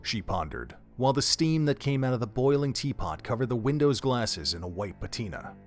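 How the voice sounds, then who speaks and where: A man's voice: medium pitch, sing-song, fairly quick, faint music behind. A man narrating a dramatic story from a script, as the narrator of an audio drama.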